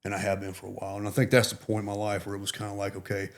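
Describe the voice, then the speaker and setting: A man's voice: fairly low pitch, completely flat delivery, very fast. A middle-aged man, a retired Marine, talking earnestly and reflectively as a podcast guest, with many "you know" fillers. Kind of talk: casual interview.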